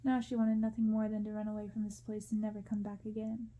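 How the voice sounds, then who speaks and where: A woman narrating a storybook in ASMR style, gentle, hushed and unhurried. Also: medium pitch, flat delivery, muffled recording, slight background noise. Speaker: ASMR creator reading a novel.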